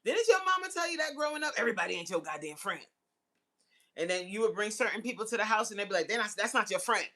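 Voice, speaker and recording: A woman in her forties hosts a casual livestream chat. Her voice is bright and forceful, with very lively swings in pitch. She talks in a teasing, slangy, giggly way. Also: fast. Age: 40s. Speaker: livestream host. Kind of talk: casual chat with live viewers reading comments.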